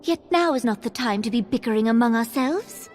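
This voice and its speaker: An actress playing a small, earnest young female ruler in a fantasy game, speaking in measured, formal phrases. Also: a little high, lively intonation, a little mellow, faint music behind, lightly acted. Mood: grave, resolute. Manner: regal, measured, archaic phrasing.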